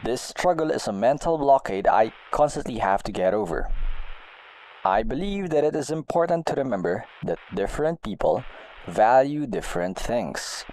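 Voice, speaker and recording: Male blogger, medium pitch, animated intonation, deliberate and expressive read of a personal essay. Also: no room sound, low-quality recording. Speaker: video game blogger. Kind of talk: reading a personal essay aloud.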